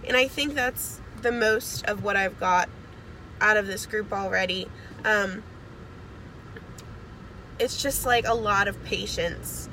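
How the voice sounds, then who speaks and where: A woman's voice: medium pitch, takes it slowly, long pauses, pushed and bright, slight background noise, rough recording. A young woman getting over a cold, chatting casually and rambling with lots of fillers, recorded on a phone in a car with the air conditioning on. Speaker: network marketing seller. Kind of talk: casual video message.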